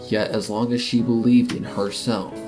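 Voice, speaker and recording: A man reading a dramatic scripted story as narrator, in a measured, solemn way. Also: medium pitch, little pitch movement. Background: guitar music.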